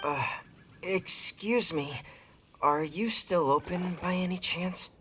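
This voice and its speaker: Low-voiced, hesitant traveler. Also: female voice, takes it slowly, very noisy. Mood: worried. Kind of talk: video game cutscene acting.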